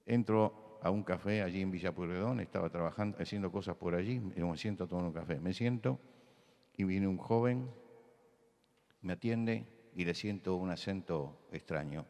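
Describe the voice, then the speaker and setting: Rioplatense male preacher, lowish voice, emphatic and repetitive, church hall reverb. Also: moderate pace. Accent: Rioplatense Spanish. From Buenos Aires. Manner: emphatic, repeats key words, rises to loud insistence. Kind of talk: sermon talk with anecdotes.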